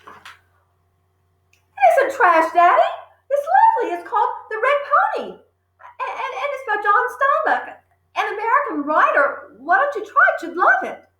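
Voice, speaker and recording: A woman voicing a polite, bookish little girl who speaks in a high, pleading voice. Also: very animated, a little slow, long pauses, pushed and bright, reverberant, full character voice. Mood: pleading, cheerful.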